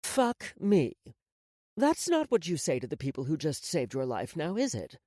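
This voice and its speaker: A man's voice: a little high, wildly swooping pitch, moderate pace, dark tone, no echo, studio quality. A man voicing a brazen older Mafia woman in an audiobook, mocking and blunt.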